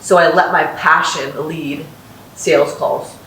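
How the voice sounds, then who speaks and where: A woman's voice: deep voice, steady tone, a little slow, fairly bright tone. A woman hosting a casual podcast, giving upbeat, encouraging advice to students. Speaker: host and company founder. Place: house in North Las Vegas, room with echo. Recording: roomy echo, distant mic, faint hiss.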